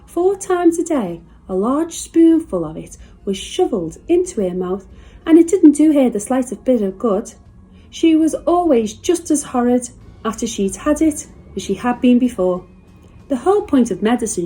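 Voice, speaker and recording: A woman's voice: a little high, sing-song, moderate pace, warm tone, slightly rough, rough recording. A woman from a school's staff reading a children's storybook aloud with expression.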